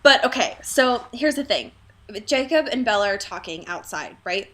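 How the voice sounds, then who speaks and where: A woman's voice: fairly high pitch, lively intonation, moderate pace, fairly bright tone. A woman co-hosting a casual, comedic book discussion, exclaiming, swearing freely and often breaking into laughter as she talks. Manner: laughs often while talking. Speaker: podcast co-host.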